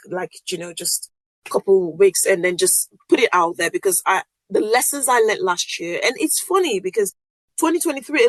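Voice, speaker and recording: A Nigerian woman with a Yoruba first language hosts her own podcast, talking in a loose, upbeat ramble full of fillers and quick laughs. Her voice sits at an ordinary middle pitch. The sound is dry and close, with no room around it. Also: no echo, rough recording. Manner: chatty and upbeat, laughs often, lots of fillers. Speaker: podcast host. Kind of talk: casual solo podcast chat.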